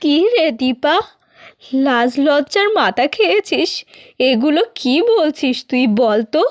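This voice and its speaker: High-voiced earnest village mother played by a woman. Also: animated, unhurried, no room sound. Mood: scolding. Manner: earnest, admonishing. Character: caring, principled middle-aged village mother who scolds. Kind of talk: Bengali cartoon fairy-tale acting.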